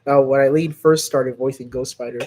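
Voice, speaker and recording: A man's voice: mid-range voice, fairly even intonation, brisk, darkish tone. A man hosting a channel, chatting casually and chummily with fans on a livestream in a rambling way. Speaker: host of a fan series channel answering questions.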